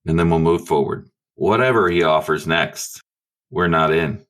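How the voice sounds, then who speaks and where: A man playing his character in a tabletop game, speaking calmly in short remarks. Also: low-pitched, moderate pace. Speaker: player.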